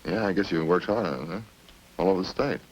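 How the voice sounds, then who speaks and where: A man's voice: sits low, quick pace, natural voice, low-quality recording. A man playing a talkative, smug murder suspect in a radio crime drama, folksy and cagey as he casually needles the police. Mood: cagey, evasive. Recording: hissy old radio recording.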